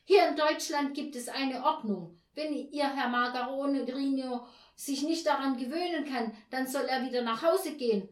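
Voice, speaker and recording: A woman voicing an angry, self-righteous mother and neighbour in a storybook, loud, shrill and scolding. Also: normal speed, reverberant, far from the mic, lightly acted. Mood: indignant. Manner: indignant, scolding, exclaiming.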